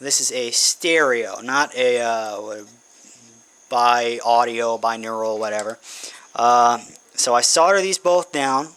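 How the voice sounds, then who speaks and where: A man's voice: mid-range voice, takes it slowly, fairly bright tone, clean tone. A man giving a casual how-to demonstration, informally thinking aloud as he works. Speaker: hobbyist presenting an electronics build. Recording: noisy amateur recording with insect hum.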